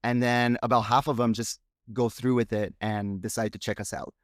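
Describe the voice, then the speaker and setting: A man's voice: medium pitch, little pitch movement, normal speed, dry recording, studio quality. A man from Romania, whose first language is Romanian, speaking as a podcast guest in a frank, reflective, casual interview chat. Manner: frank, reflective, thinks aloud.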